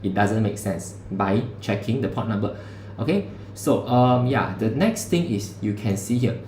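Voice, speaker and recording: A man's voice: lowish voice, animated, moderate pace, a little mellow. A male lecturer, teaching a class over a livestream in a casual, chatty way. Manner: casual, conversational teaching with local particles. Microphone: streaming setup mic. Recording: echoey room, faint background hum.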